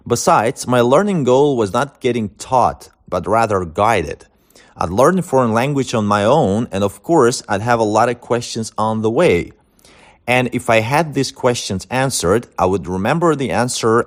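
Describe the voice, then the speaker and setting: A man hosting a language learning video, giving tips in a scripted monologue with wry jokes and deadpan punchlines. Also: fairly low pitch. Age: adult, older than the internet era.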